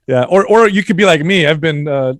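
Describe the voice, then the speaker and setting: A man in his forties from San Jose, California, a fitness trainer guesting on a podcast, telling easygoing stories and chiming in with quick agreement. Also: on the high side, lively intonation, no room sound, clean studio sound. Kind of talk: casual chat.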